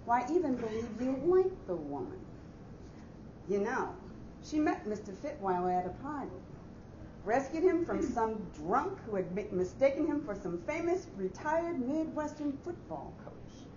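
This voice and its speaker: A woman's voice: on the high side, big pitch swings, measured pace, dark tone. A woman playing a gossipy, sympathetic office assistant, confiding in a staged story reading. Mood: gossipy, annoyed. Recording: muffled, noisy, echoey hall recording.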